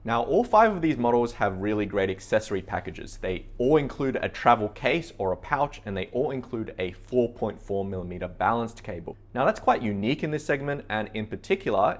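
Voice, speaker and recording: A man with a fairly low voice presents products for a store. He talks as a reviewer addressing viewers directly. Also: sing-song, fairly quick, few pauses.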